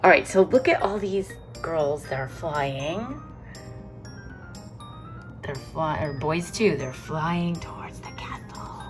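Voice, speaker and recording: A woman teacher reads a picture book aloud to young children, stopping often to ask questions and chuckle along. She speaks very slowly in a fairly low voice. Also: expressive, over music. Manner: chatty, asks the children questions and laughs along.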